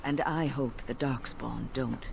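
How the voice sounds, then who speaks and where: A man playing a measured, patient companion in a fantasy video game, speaking formally. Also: medium pitch, steady tone, vocal fry. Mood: measured, reassuring. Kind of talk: dramatic video game dialogue. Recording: noisy game audio under a player's talk.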